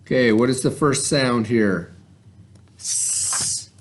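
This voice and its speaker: A man's voice: mid-range voice, takes it slowly. A man teaching a remote reading lesson to children in an informal, instructional way, carefully sounding out letters and words. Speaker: elementary school teacher.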